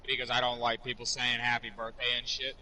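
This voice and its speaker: A man's voice: mid-range voice, monotone, fast talker, sharp tone, slight background noise, low-quality recording. A man in his twenties streaming a game, chatting casually and telling rambling, profane stories. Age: 20s. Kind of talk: casual chat while gaming.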